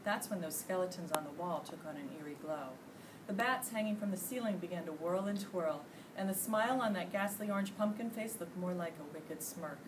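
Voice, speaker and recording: A woman's voice: fairly low pitch, fairly quick. A middle-aged American woman reading a children's book aloud expressively, like a teacher in a classroom. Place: classroom, indoors. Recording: distant mic, loud room noise.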